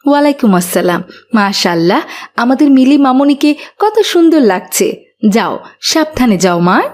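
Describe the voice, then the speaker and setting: A woman voicing a gentle, caring mother who patiently teaches morals in a children's cartoon. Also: sits high, big pitch swings, normal speed.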